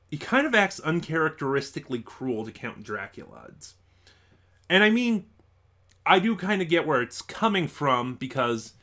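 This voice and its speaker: A man's voice: medium pitch, a bit bright. A man giving a casual, unscripted review as an online reviewer, rambling and thinking aloud in a stop-and-start way.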